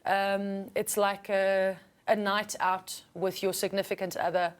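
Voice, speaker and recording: A woman with a South African accent and a low register, talking casually and earnestly as a singer being interviewed. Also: flat delivery, measured pace, smooth. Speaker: singer and musician guest.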